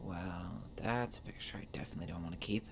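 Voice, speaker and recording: A man's voice: low-pitched, quick pace, vocal fry. An actor playing a wounded, angry young American man in a naturalistic video game drama. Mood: muttering, focused. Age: young adult. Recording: noisy game capture.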